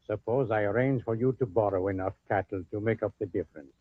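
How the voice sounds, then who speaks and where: An actor playing a kindly, dignified mission steward, formal and courteous, in an old-time radio drama. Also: male voice, fairly low pitch, fast, no room sound, narrow, tinny audio, lightly acted. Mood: courteous, assuring. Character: kindly, dignified major-domo of a Spanish mission. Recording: phone-thin vintage radio recording.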